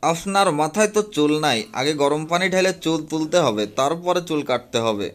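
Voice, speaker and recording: A man voicing an excitable, flustered cat barber in a comic cartoon dub, exclaiming dramatically over music. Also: fairly high pitch, normal speed, natural voice. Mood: eagerly welcoming. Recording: music under the voice.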